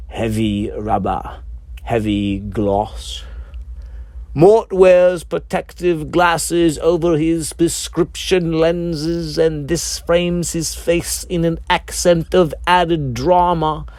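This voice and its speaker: A man narrates a moody, macabre story in a fairly high male voice. He takes his time, delivering each phrase deliberately and theatrically with measured pauses. The sound is dry and close. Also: darkish tone, no room sound. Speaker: author reading own script. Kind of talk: scripted dark comedy narration, audiobook reading.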